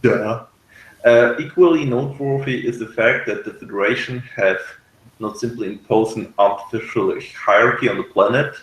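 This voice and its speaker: A man reviewing a TV episode in casual, analytical discussion, speaking haltingly as he searches for words. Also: lowish voice, a bit bright, reverberant, poor audio. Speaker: podcast reviewer.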